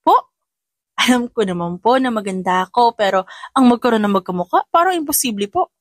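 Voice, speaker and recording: A woman's voice: fairly high pitch, lively intonation, brisk, no room sound, studio quality. A woman voicing a feisty young female janitor in an audio drama, cheeky and defiant, though polite to elders. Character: feisty young female janitor who fights back at bullies.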